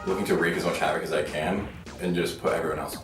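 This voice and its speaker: A man's voice: rumbling low, fairly even intonation, fast, recorded from a distance. A man giving casual answers in an interview. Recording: roomy, off-mic, music over it.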